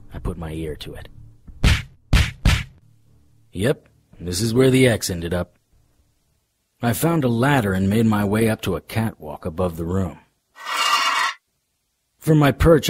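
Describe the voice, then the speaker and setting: An actor playing a wry, deadpan, hardboiled supernatural private investigator narrating a noir radio drama in a husky voice. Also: male voice, on the low side, natural voice. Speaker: narrator and lead.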